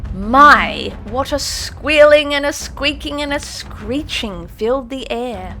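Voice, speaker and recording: A woman narrating a classic children's story as an audiobook, reading in a lively, theatrical way. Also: fairly high pitch, gravelly.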